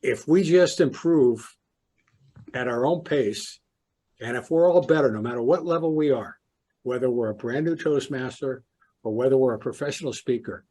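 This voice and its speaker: A man's voice: mid-range voice, normal speed, mellow. A man interviewing a club member, encouraging and affirming her answers. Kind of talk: conversational interview.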